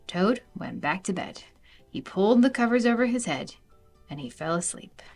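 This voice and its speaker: A woman reading a children's storybook aloud in an animated narrating style. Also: medium pitch, normal speed, faint music behind. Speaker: storybook reader.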